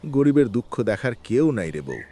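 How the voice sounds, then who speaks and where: Male actor as aggrieved elderly villager, rural Bangladeshi accent, animated plaintive delivery, faint noise behind. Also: mid-range voice, normal speed, close to an everyday voice. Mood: aggrieved, weary. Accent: rural Bangladeshi Bengali. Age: older. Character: weary, aggrieved elderly village man lamenting hard times. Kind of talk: cartoon village drama dialogue. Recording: faint background noise with clicks.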